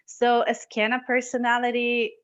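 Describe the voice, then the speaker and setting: A young woman from Morocco, guest on an interview over a video call, talking in a lively, casual way with headphones on. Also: fairly high pitch, normal speed, no room sound, muffled recording. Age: young adult. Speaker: guest entrepreneur and artist.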